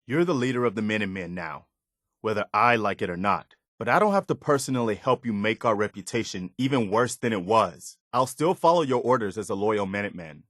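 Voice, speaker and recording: A man's voice: lowish voice, expressive, brisk, fairly bright tone, no echo, clean studio sound. A male actor voicing an earnest, weary, loyal militia soldier in a video game, speaking in a plain-spoken, folksy way. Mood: disappointed, stern. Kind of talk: dramatic video game dialogue.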